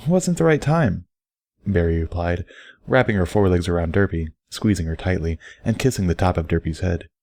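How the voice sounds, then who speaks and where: A man's voice: low-pitched, steady tone, brisk, darkish tone, no room sound, studio quality. A man reading a scripted story aloud as an audiobook narrator. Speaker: audiobook reader.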